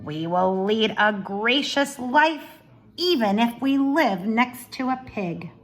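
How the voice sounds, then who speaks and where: A woman voicing a haughty, bossy older sister from a storybook, speaking in a pompous, deliberate, emphatic way. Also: on the high side, expressive. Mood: haughty, commanding.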